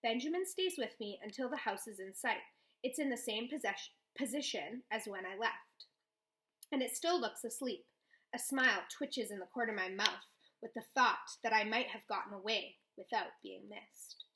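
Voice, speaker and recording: A woman reading a children's novel aloud, with expressive first-person storytelling. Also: a little high, normal speed. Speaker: read-aloud host.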